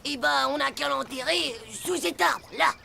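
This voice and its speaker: A woman voicing an excitable, naive and mischievous young boy in a cartoon, with a creaky voice and a dramatic, cocksure delivery. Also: sits high, normal speed. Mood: scheming. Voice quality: creaky, gravelly. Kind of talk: French animated cartoon acting. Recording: music bed under dialogue.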